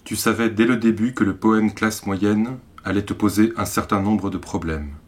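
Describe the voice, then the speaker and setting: A man with an accent from France reads literary poetry in a measured way, in short phrases set apart by deliberate pauses. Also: lowish voice, steady tone. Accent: French from France. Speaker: poet reading own work.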